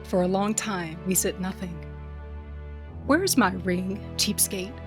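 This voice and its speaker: A woman's voice: medium pitch, takes it slowly, music in the background, lightly acted. A woman playing a tough, wounded, sardonic smuggler who tells her own story in an audio drama, intimate and wry. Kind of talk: first-person audio drama narration with dialogue.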